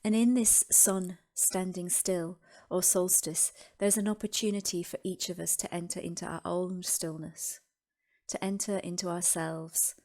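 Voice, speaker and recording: A woman narrating a scripted guided meditation as host, with deliberate, reverent phrasing and pauses. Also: lowish voice, darkish tone.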